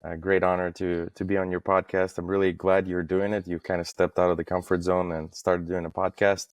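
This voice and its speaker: Low-voiced man, very even in pitch. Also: brisk, no room sound. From Estonia. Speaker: podcast guest, facade industry professional. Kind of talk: casual interview, recounting career.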